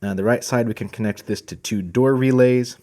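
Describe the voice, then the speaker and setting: A man's voice: medium pitch, brisk, warm tone. A man hosting a product video, walking through gear in a casual presenting style. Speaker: host of a product channel.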